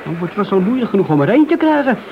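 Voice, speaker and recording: An actor playing a wheedling, hesitant petty crook and police informer in a radio play. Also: male voice, sits high, big pitch swings, racing, creaky, exaggerated voice. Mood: evasive, wheedling. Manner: hesitant, evasive, servile to his handler. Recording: dull, noisy old radio drama recording.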